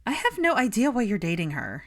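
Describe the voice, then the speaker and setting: A woman reader playing an outspoken, smug young wife from a storybook. Her pitch sits above the reader's usual voice and she leans hard on her words. The manner is blunt and teasing. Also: huge pitch swings, a little fast. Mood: exasperated, blunt. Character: brash, outspoken, smug young married woman, tipsy on cider.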